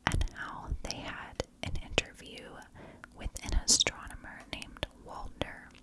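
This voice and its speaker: A woman telling a story as whispered ASMR narration, hushed and in short phrases. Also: measured pace. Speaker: ASMR true crime storyteller, channel host.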